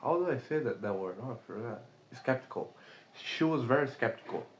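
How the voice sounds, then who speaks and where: Brazilian man, native Portuguese speaker, mid-pitched, casual rambling vlogger who swears freely. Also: animated, brisk, low-quality recording. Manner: rambling, lots of "like" fillers and swearing. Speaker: remote sales rep. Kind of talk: casual vlog monologue.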